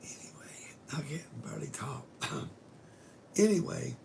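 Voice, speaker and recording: A woman's voice: a bass voice, animated, a little slow, gravelly, warm tone. A middle-aged woman who has lost her voice, chatting in a rambling casual way to live viewers from her bedroom, swearing casually and sometimes dropping to a whisper. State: hoarse, losing her voice. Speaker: vlogger greeting live viewers. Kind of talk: rambling casual livestream chat.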